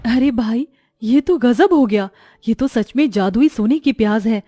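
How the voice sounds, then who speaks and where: A woman playing a kind village mother and wife in a cartoon story, speaking in a gentle, earnest way. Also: on the high side, expressive, unhurried. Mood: amazed.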